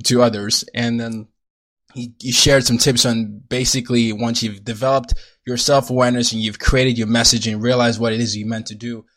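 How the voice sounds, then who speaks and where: A male podcast host leads the conversation with a curious, friendly manner, summing up what was said and asking follow-ups. His voice sits at an ordinary mid pitch. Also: a bit bright. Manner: curious, friendly, recaps and asks questions. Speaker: interview host. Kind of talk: conversational interview hosting.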